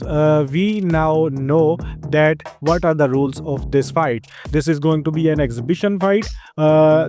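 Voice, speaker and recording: A man with an Indian English accent hosting a fight news show, briskly listing upcoming bouts in a scripted rundown. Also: a little high, darkish tone. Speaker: host of a fight news channel.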